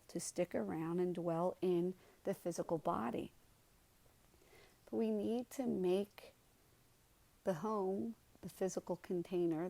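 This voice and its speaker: A woman leading a guided meditation in a soothing, unhurried way, with soft, gentle delivery and pauses. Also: fairly low pitch, creaky, dry recording.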